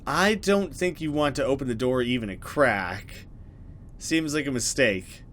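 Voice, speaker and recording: A man's voice: medium pitch, expressive, measured pace, fairly bright tone, a little hiss or hum. A man hosting a gaming video, talking casually with wry asides and mild swearing as he reacts. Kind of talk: let's play reading and reacting.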